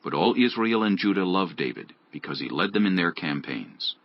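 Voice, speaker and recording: A man narrating scripture dramatically from a script, in a gravelly, husky voice. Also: low-pitched, very animated, moderate pace, dull audio.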